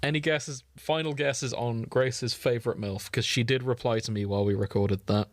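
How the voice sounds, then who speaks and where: A man's voice: mid-range voice, steady tone, normal speed, no echo. A man co-hosting a podcast in casual chat, teasing and swearing freely. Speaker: podcast co-host.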